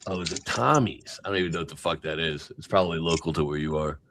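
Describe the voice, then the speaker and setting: A man's voice: very deep voice, fast, fairly bright tone, a little hiss or hum. A man on a livestream in casual chat, with sardonic, crude and profane remarks. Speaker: stream guest.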